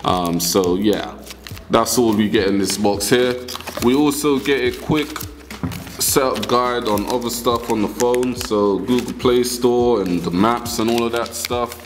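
Young male tech vlogger, casual chatty delivery, mid pitch, indoor table setup. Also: unhurried, slight background noise. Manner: chatty, addresses viewers as guys. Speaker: tech channel host. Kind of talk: casual unboxing vlog. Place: indoor room at a wooden table.

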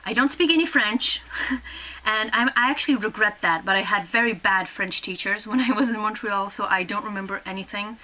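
A young woman from Poland whose first language is Polish, telling childhood stories to the camera in English with a Canadian-tinged accent and an animated, gesturing manner, often restarting her sentences. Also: mid-range voice, a little fast, rough recording. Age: young adult. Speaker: vlogger. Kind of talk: casual storytelling to camera. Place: small room indoors, sitting on a bed.

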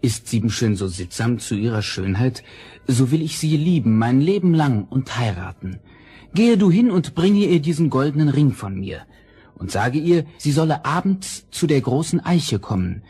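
A man's voice: mid-range voice, sing-song, normal speed, mellow, slightly rough. A man playing an earnest, ardent young noble prince in a fairy-tale audio play, with solemn declamatory delivery. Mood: earnest, resolved. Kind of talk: vintage fairy-tale radio play acting.

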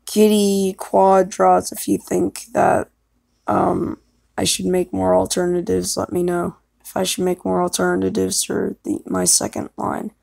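A woman's voice: medium pitch, monotone, a little slow, vocal fry, a little mellow, no room sound. A young woman chatting casually and self-consciously, hesitant and apologetic as she records herself. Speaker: amateur voice actor recording an audition.

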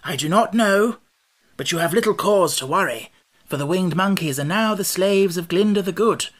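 A woman voicing a cheerful, pompous male scarecrow king in a storybook, with a bright and grandly reassuring manner. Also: fairly low pitch, moderate pace, voice pushed up, close to an everyday voice. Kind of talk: audiobook character voice.

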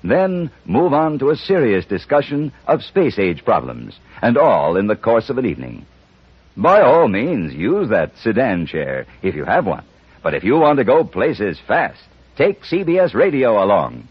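A man's voice: medium pitch, huge pitch swings, unhurried, breathy edge, dry recording. A man with an American accent reading a scripted promotion as a 1950s radio network announcer. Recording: dry, dull 1950s radio recording.

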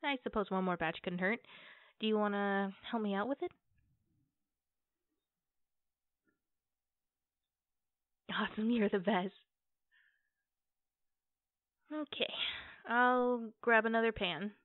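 A woman playing an affectionate, playful girlfriend in a casual, conversational ASMR roleplay, with hesitant pauses. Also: medium pitch, dry recording, lightly acted. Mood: cheerful, casual. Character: affectionate, playful girlfriend baking cookies at home. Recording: tinny, phone-like band-limited audio.

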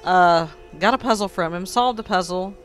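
A man hosting a let's play, hoarse and froggy after being sick, making wry, sarcastic asides over the game. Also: sits high, moderate pace, fairly bright tone. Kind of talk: casual game commentary. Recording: faint game music behind.

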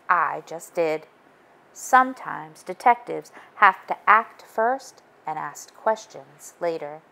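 A woman's voice: mid-range voice, gravelly, bright, forceful tone. A woman narrating a children's storybook as a read-aloud. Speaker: storybook reader.

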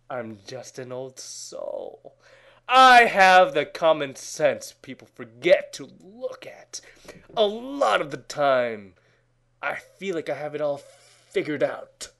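A man with a fairly high voice performs a mock-dramatic reading. His pitch swings widely and his pace is very slow, full of theatrical pauses. He moves between hushed whispering and loud, overwrought declamation.

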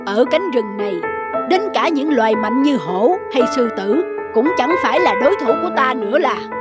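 A woman's voice: on the high side, expressive, normal speed, over loud music, close to an everyday voice. A woman voicing a boastful fox who poses as a martial arts master, pompous and self-important, in a children's cartoon. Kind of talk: children's cartoon dubbing in Vietnamese.

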